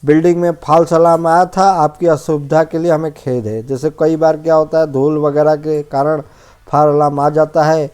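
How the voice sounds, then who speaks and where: A man's voice: on the high side, little pitch movement, moderate pace, darkish tone, clean tone. A man giving an informal technical lesson as an instructor, explaining checklist points and often rephrasing and defining terms. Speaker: fire safety instructor.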